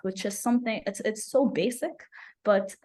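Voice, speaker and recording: A woman's voice: mid-range voice, moderate pace, dark tone. A young woman who grew up in the UAE, giving earnest, personal interview answers as a guest activist, repeating phrases for emphasis. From Abu Dhabi, UAE. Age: young adult. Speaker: climate activist, podcast guest.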